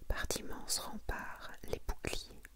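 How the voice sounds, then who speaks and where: Whispering French-style ASMR woman, breathy and hushed. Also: slow pace. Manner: soft, hushed, intimate delivery. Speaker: ASMR creator presenting a manga collection. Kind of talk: whispered ASMR, reading summaries with casual asides.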